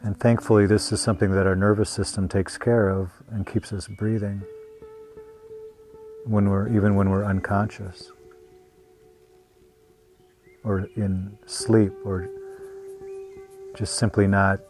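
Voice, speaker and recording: A man leading a guided meditation as a teacher, speaking gently and unhurriedly with long pauses. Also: on the low side, little pitch movement, soft background music. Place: meditation retreat.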